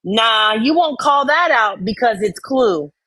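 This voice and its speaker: A woman's voice: a little high, expressive, slow, bright, forceful tone, dry recording, poor audio. A woman co-host giving casual commentary, reading comments out dramatically and reacting bluntly, with exasperation and free swearing.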